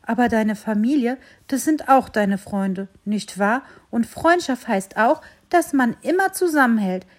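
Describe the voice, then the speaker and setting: A woman doing a gentle little fir tree. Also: on the high side, measured pace, few pauses, pitched above the performer's own voice. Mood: calm. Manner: calm, gently persuading. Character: small wise fir tree, kind and calm.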